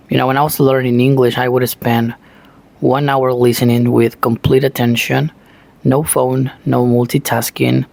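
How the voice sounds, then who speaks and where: A man's voice: medium pitch, gravelly. A man from Argentina with an Argentine Spanish accent, a native Spanish speaker giving an earnest motivational talk in English, full of "you know" fillers. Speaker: self-taught English learner, channel host.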